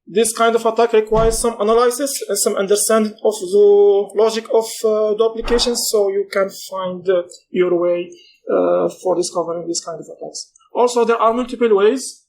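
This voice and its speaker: A man in his thirties presents a technical talk at a conference. His voice sits fairly high, and his delivery is halting as he often stops mid-sentence to find the right word. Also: fairly even intonation, a little mellow, rough recording. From Morocco. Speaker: conference speaker.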